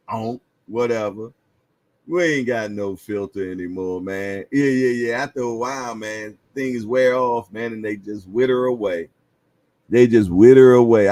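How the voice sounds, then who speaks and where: A man's voice: mid-range voice, a little mellow, no room sound. Laid-back chuckling man in his fifties. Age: 50s. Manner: laid-back, chuckling, repeats phrases, slangy banter. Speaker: boxing livestream host. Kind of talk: casual livestream chat reading viewer comments.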